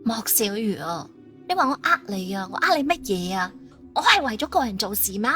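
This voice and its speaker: An actress plays a stern, determined female agent. Her voice sits fairly high, delivering audiobook dialogue over soft music. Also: very animated, a little fast. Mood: grim, reproachful.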